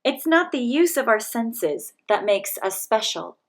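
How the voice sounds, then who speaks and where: A woman reading a picture book aloud to children, in a gentle, measured way. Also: fairly high pitch, big pitch swings.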